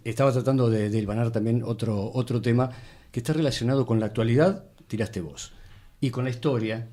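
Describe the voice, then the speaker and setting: A man with an Argentine accent chatting informally as a radio studio guest, pausing to search for words. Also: mid-range voice, warm tone. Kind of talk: casual chat.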